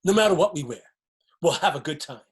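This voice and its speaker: A man's voice: on the high side, expressive, fast, husky. An actor playing a defiant, hopeful man plotting escape in a stage drama, speaking earnestly and emphatically. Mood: tender, playful. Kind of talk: dramatic stage-play dialogue.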